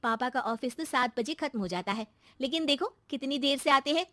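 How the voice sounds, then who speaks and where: A woman's voice: high voice, expressive, moderate pace, pushed and bright, no room sound. A woman voicing a greedy, scheming young daughter in a moral story, with an insistent, coaxing manner. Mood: insinuating. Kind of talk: acted moral-story dialogue.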